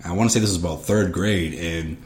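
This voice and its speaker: Lower-voiced man in a roomy space. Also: quick pace, darkish tone. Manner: earnest, repeats words when starting a thought. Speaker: podcast co-host. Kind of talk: casual conversation. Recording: roomy echo.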